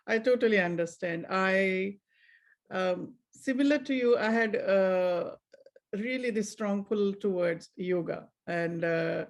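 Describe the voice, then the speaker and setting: A woman's voice: medium pitch, takes it slowly. A woman hosting a podcast, interviewing in a casual, conversational style. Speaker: podcast host.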